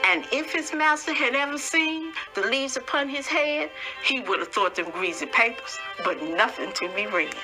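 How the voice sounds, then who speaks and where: A spirited elderly woman, played by a woman reader, reminisces about her days in slavery in dramatic verse. Her voice is fairly high and her telling folksy and animated, with clear fiddle music behind it. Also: normal speed, low-quality recording. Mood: wry reminiscing. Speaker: poetry reader. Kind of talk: dramatic first-person storytelling in verse.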